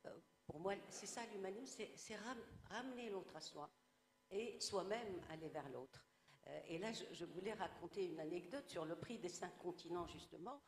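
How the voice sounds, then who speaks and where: A woman's voice: on the low side, lively intonation, normal speed, slight background noise. Reflective Tunisian woman in an echoey hall. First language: Arabic. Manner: reflective, storytelling. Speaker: guest writer. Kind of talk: panel discussion.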